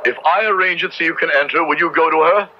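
An actor playing a solemn, conscientious Puritan minister with measured, formal diction in an old-time radio drama. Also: male voice, a little high, close to an everyday voice. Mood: earnest. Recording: dull, noisy old radio drama recording.

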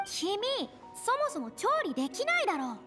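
Earnest, high-pitched young noblewoman. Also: huge pitch swings, moderate pace, bright, forceful tone, heavily acted. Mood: dubious. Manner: boyish phrasing, earnest. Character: theatrical young noblewoman, boyish speech, earnest aspiring artist. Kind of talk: anime game acting. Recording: music behind the voice.

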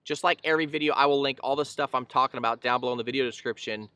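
Casting note: thirties male angler-host, bright crisp delivery, casual explainer, recorded outdoors on a boat. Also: mid-range voice, racing, dry recording. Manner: easygoing, explanatory, frequent fillers and restarts. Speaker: fishing channel host. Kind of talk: casual instructional talk to camera.

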